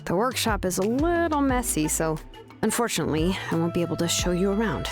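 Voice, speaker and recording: A woman's voice: mid-range voice, lively intonation, fairly quick, natural voice. A woman voicing a composed, earnest young craftswoman in an anime game, explaining things in an articulate way. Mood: polite. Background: game music.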